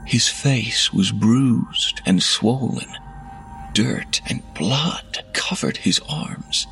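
A man reading a horror story aloud in a measured, hushed delivery that drops to whispers, with faint music behind. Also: mid-range voice, vocal fry. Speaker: narrator. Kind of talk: scripted horror narration.

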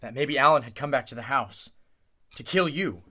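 A man's voice: mid-range voice, moderate pace, bright, forceful tone, natural voice. An actor playing a tense, protective man in the style of an old-time radio drama. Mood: uneasy. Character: Clint, tense protective man searching in fog.

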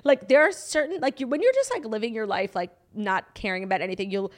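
A young woman with a New York accent co-hosting a podcast in casual, animated chat, full of "like" and swearing. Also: a little high, quick pace. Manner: animated, swearing, heavy use of "like". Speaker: podcast co-host.

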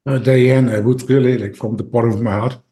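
A man's voice: mid-range voice, steady tone, brisk, dark tone. A man with an Austrian accent, a podcast guest talking eagerly in a casual interview, with many restarts and fillers.

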